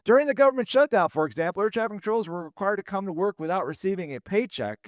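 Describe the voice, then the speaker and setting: A man, a former air traffic controller explaining things in a steady, plainspoken teaching tone. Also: high-pitched, no echo, studio quality. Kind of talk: explainer talk to camera.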